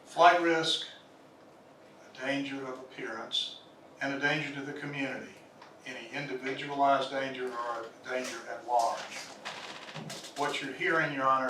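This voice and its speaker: An older man, a defense attorney, arguing in court with formal, measured legal phrasing. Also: mid-range voice, noisy background. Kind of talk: courtroom argument. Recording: echoey courtroom audio from a distant mic.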